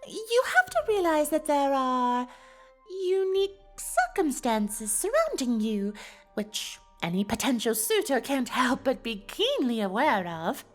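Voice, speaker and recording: A woman's voice: high-pitched, huge pitch swings, unhurried, faint music behind, heavily acted. A woman voicing an elegant, teasing female pony in a dramatized story reading, with an affected, refined delivery. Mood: matter-of-fact, flattering.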